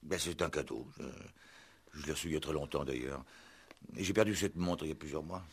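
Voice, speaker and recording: A man's voice: very deep voice, brisk, slightly rough, full character voice. A man playing an uneasy, evasive salesman under questioning in a 1960s French radio play, hesitant and guarded. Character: evasive, uneasy male suspect, a medical salesman.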